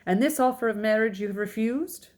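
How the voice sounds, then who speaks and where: Woman doing a older gentleman dad from an old novel, calm, deadpan, measured and proper. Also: a little high, pitched above the performer's own voice, natural voice. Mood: mock stern. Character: dry, calm, unconcerned older gentleman father.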